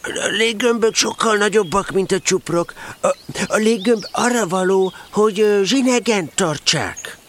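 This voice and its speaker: A man playing a cheerful, simple, kindly toy bear in a children's audio drama, eager, naive and a little halting. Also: sits high, a bit bright, a little hiss or hum. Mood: earnest, helpful.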